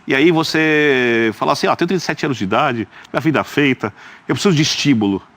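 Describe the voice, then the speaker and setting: A man's voice: medium pitch, fast talker, fairly bright tone. A man, arguing his view as a guest in a studio football debate, emphatic and explanatory. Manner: emphatic and explanatory, with rhetorical asides.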